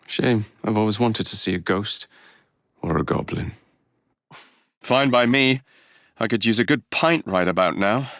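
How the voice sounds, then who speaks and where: An actor voicing a cocky, wisecracking young English assassin and gang leader in a video game, flippant and sardonic. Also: male voice, fairly low pitch, measured pace, long pauses, a bit bright, no room sound, studio quality. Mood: wry, teasing, then brisk, cheeky. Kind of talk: video game character dialogue.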